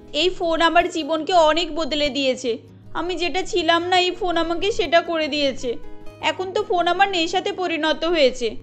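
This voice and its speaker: A woman's voice: extremely high pitch, fairly bright tone. A woman voicing a young, phone-loving wife in a Bengali cartoon, with an eager, emotional manner.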